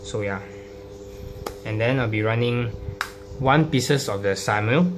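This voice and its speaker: A man informally explaining a card deck, halting and hesitant, often repeating his phrases. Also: mid-range voice. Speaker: trading card deck profile presenter. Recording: clearly noisy recording with hum.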